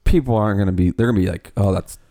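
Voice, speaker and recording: A man's voice: on the low side, very fast, creaky, mellow. A man in casual conversation, often restarting his sentences. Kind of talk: casual chat.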